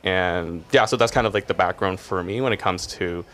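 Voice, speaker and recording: Fast-talking young man with a low voice. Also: fairly bright tone. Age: young adult. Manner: rapid, with many uhs and restarts. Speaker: podcast guest, young adults group leader. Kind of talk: casual conversation.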